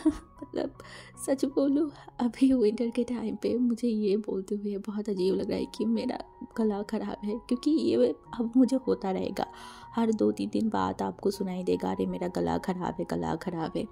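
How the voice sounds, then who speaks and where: An Indian woman with a hoarse, cold-affected voice tells a story casually and chattily, mixing Hindi with English and repeating words for emphasis. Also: mid-range voice, steady tone, unhurried, dark tone, faint music behind. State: sore throat from a cold. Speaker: storyteller, channel host. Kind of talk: casual spoken fan fiction narration.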